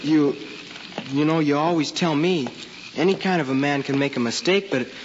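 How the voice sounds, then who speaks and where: A man's voice: on the high side, normal speed, close to an everyday voice. A young male actor with an American accent playing a polite, earnest schoolboy in an old radio drama, talking tentatively and respectfully to his elders. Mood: tentative, coaxing. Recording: dull hall-like vintage recording, faint noise.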